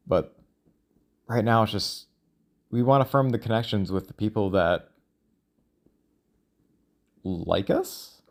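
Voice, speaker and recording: A man speaking in a casual, rambling monologue, thinking out loud in a halting, self-questioning way. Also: on the low side. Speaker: solo audio log creator.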